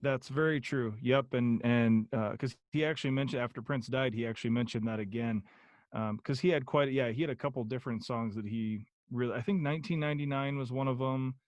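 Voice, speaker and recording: A man's voice: medium pitch, fairly quick, darkish tone, dry recording. A young man chatting as a podcast guest, rambling with many ums and restarts. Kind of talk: casual interview chat.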